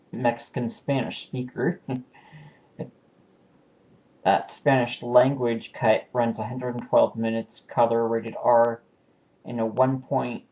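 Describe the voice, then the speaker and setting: A man's voice: mid-range voice, vocal fry, low-quality recording. Man casually chatting about his discs. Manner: relaxed and unscripted, with pauses and self-corrections. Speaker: video collector showing new purchases. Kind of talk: casual home video review.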